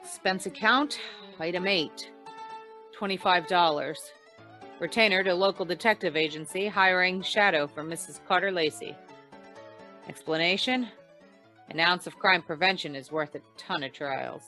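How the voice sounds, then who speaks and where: A woman's voice: on the low side, moderate pace, sharp tone, soft background music. Woman acting a dry, wry noir lady detective. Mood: wry, deadpan. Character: wry, hard-boiled female insurance investigator in a crime noir. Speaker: actor in an old-time radio play. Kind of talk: 1940s radio noir narration and dialogue.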